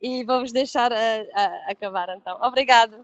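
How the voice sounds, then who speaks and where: A woman from Portugal with a European Portuguese accent, presenting software on a webinar call with frequent restarts and easy laughter. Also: fairly high pitch, fairly quick, dry recording, highs cut off. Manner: hesitant, many restarts, laughs easily. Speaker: pre-sales engineer presenting software. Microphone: video call.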